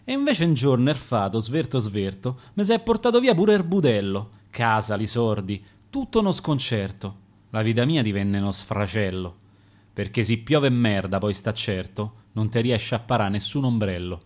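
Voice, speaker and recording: A man's voice: lowish voice, big pitch swings, normal speed, a little mellow, pitched below the performer's own voice. A man reciting as an honest, ruined umbrella mender in rueful verse with a Roman accent.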